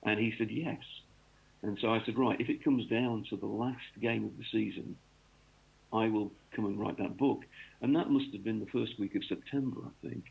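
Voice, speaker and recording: A man's voice: lowish voice, fairly even intonation, normal speed, gravelly, husky. A man from North East England, a cricket author, talking through his work in a rambling radio interview full of ums and restarts. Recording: tinny phone line.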